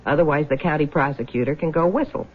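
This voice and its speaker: An actress playing a hard-boiled, greedy small-town widow in a radio drama, blunt and slangy. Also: female voice, deep voice, quick pace, husky, phone-quality sound. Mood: sardonic, curt. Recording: thin band-limited old radio recording.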